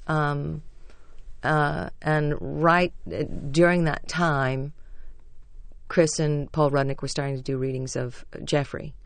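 A stage actress with a low voice, telling chatty, self-deprecating stories as a radio interview guest. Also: female voice, flat delivery, slow, no room sound.

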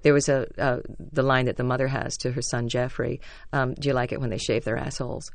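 A stage actress with a low voice, telling chatty, self-deprecating stories as a radio interview guest. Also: female voice, flat delivery, fairly quick, vocal fry, dry recording.

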